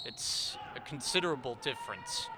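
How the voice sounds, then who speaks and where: A man's voice: medium pitch, animated, normal speed. A man calling a college basketball game live as the play-by-play commentator. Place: indoor basketball gym during game. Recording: crowd noise in gymnasium.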